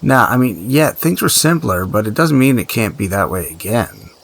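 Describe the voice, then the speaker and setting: An actor playing a practical, blunt but uneasy survivor and tinkerer in an audio drama, talking in a halting, gruffly casual, profane way. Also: male voice, lowish voice, big pitch swings. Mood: awkward. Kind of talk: audio drama dialogue, naturalistic.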